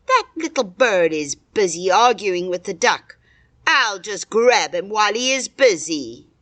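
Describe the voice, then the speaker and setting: A woman's voice: mid-range voice, big pitch swings, unhurried, fairly bright tone. A woman voicing a sly, lazy female cat in a children's story, musing and calculating to herself. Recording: music behind the voice.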